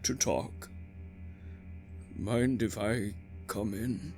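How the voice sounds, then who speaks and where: A man playing a quiet, fatherly visitor to a prison cell in an audio drama, speaking in a hushed, calming way. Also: on the low side, drawn-out words, faint music behind. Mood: hushed, soothing.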